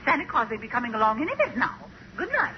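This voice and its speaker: Shrill, swooping, bossy parent. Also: male voice, wildly swooping pitch, rapid-fire, full character voice. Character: bossy, fussing parent at children's bedtime. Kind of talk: 1940s radio comedy acting. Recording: very noisy, muffled 1940s radio recording.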